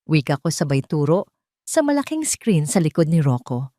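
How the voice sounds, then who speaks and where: Woman narrating a dramatic story, lowish voice, close and dry. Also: very animated, moderate pace, warm tone, no room sound. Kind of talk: dramatic first-person scripted narration.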